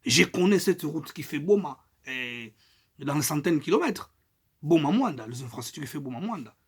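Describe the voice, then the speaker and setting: A middle-aged man from Congo with a Congolese French accent, making an emphatic political statement, his loudness rising and falling. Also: on the high side, expressive, moderate pace, husky. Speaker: political activist.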